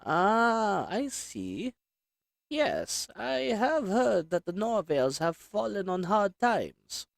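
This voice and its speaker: A man's voice: high voice, sing-song, slow pace, creaky, no room sound, pitched above the performer's own voice, exaggerated voice. A man voicing a polite, meek storekeeper in a roleplay audio drama, courteous and hesitant in manner. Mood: polite, helpful. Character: polite, meek storekeeper, an assassin's flirty disguise.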